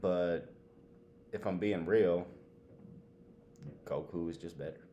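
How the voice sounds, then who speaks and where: A man's voice: sits low, slow, warm tone. A man co-hosting a podcast, giving blunt opinions in casual, slangy, sweary conversation. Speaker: podcast co-host. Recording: echoey room with faint background noise.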